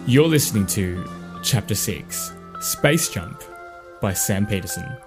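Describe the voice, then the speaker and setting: A male podcast host delivers a scripted show introduction at a medium pitch. His intonation is very lively, and music plays clearly behind him. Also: slow pace.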